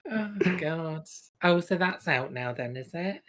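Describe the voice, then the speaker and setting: A woman with a lowish voice that swings widely in pitch chats casually as a podcast co-host. She is playful and sardonic. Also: wildly swooping pitch, slow pace, fairly bright tone. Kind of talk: casual banter.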